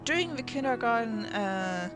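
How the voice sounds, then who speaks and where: A woman's voice: on the high side, expressive, normal speed. A teenage girl from Russia, a native Russian speaker, narrating her life story in a hesitant way with many restarts. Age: teens. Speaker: channel host. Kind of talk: draw my life voice-over narration. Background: music.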